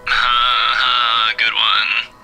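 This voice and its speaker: A man reading a visual novel aloud, voicing a groggy young man just woken by a phone call, rough and curt. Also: mid-range voice, little pitch movement, very slow, over music. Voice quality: rough, sleepy. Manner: curt, irritable, mumbling.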